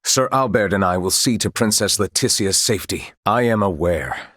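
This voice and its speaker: A man's voice: on the low side, moderate pace, a bit bright, no room sound, studio quality. A man playing a composed, proud knight commander of royal blood in a video game, speaking in formal, archaic, courtly phrases. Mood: grave.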